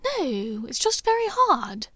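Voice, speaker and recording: A bright, indignant young woman pitches up high and squeaks out her protests, as a woman narrator puts her on. The sound is dry and close to the mic. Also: slow pace, no room sound, full character voice. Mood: lively. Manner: high, lively, protesting with a laugh.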